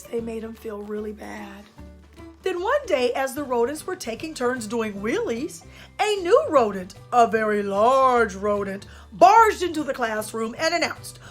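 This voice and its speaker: A woman storyteller giving an expressive children's read-aloud with dramatic emphasis. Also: a little high. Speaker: storyteller and show host.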